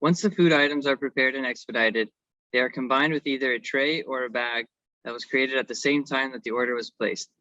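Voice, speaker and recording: A man's voice: mid-range voice, a little fast, sharp tone, no room sound. A man giving a semi-scripted technical presentation as an engineering consultant, on a slightly dull recording.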